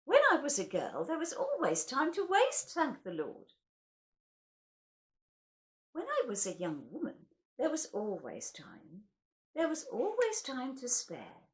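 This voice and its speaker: A woman's voice: sits high, huge pitch swings, measured pace. A woman reading poetry aloud in a theatrical, wryly comic way, as the host of a recital. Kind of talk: expressive poetry recital with casual introductions.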